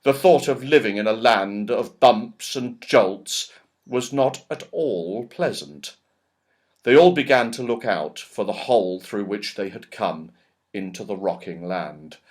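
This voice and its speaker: A man narrating a children's story in an expressive, emphatic way. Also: fairly low pitch, a little slow. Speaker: audiobook reader.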